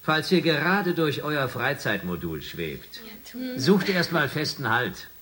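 A brisk, encouraging shuttle commander in a science fiction radio play. The voice is fairly high and forceful, swinging widely as it makes formal, emphatic announcements. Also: male voice, very animated, heavily acted. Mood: official, emphatic.

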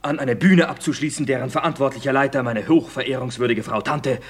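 A man's voice: medium pitch, brisk, breathy edge, lightly acted. A man playing a wily, effusively polite actor in a comic German radio play, speaking in a florid, mock-deferential way. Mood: pompous, evasive. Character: wily, effusively polite male actor scheming around a theatre director.

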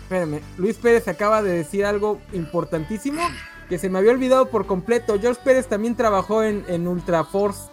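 A man's voice: high-pitched, fairly quick, soft background music. A man with a Mexican Spanish accent hosting a casual live-streamed comic-book chat, rambling and correcting himself as he goes. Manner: rambling, restarts often, self-correcting. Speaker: podcast host.

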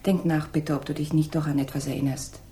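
An actress playing a gentle, pleading young woman in a radio crime drama, speaking softly and coaxingly. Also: deep voice, little pitch movement, a little fast, a little hiss or hum, natural voice. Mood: gently pleading. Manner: soft, coaxing. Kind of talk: 1960s German radio crime drama acting.

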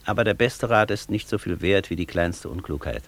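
An actor playing an aloof, world-weary old Faust who speaks in sententious, disdainful aphorisms in a German radio play. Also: male voice, low-pitched, lively intonation, fairly bright tone, no echo. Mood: dry, sententious. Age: older. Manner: sententious, disdainful, deliberately simple.

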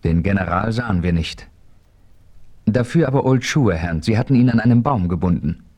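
A steady frontier hero who narrates his own adventure in an old radio drama. His voice is low and a little husky. He tells the story in a measured way. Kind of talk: 1970s radio play narration.

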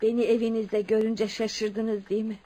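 An actress playing a wealthy, kindly widow near seventy in a Turkish radio drama, frank and dignified. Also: female voice, fairly high pitch, flat delivery, normal speed, dark tone, close to an everyday voice, low-quality recording. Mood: friendly. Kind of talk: Turkish radio theatre acting.

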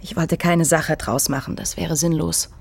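Defensive proud film worker, clipped, dry recording, radio play. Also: female voice, deep voice, steady tone, normal speed, slightly rough, no room sound. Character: guarded, proud film make-up artist. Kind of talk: radio drama acting.

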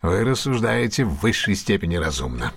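Husky male detective for audio drama: confident, ironic, widely swooping intonation. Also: low voice, very animated, full character voice. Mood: ironic praise. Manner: theatrical, teasing, deliberate. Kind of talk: Russian audio drama acting.

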